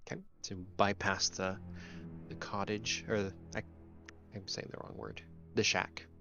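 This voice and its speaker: Low, creaky-voiced man running a game. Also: little pitch movement, measured pace. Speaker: game master. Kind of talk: narrating a tabletop game. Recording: muffled audio over background music. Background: music.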